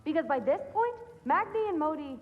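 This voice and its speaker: A high, pleading teenage girl. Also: expressive, a little fast, big-room sound, phone-quality sound. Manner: pleading and resentful. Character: pleading teenage girl arguing with her mother.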